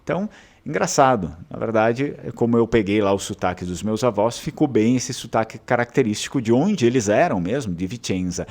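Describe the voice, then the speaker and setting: A man's voice: medium pitch, expressive, brisk, darkish tone. A man from southern Brazil with a southern Brazilian Portuguese accent, hosting his channel in a casual, rambling talk full of fillers and restarts. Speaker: channel host.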